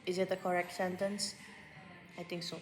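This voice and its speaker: A woman's voice: on the low side, level tone, normal speed, soft background music. A woman in her twenties talking casually to camera at home in a vlog, chatty and full of fillers, often slipping into English phrases. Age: 20s. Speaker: vlogger. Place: at home, indoors. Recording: echoey room.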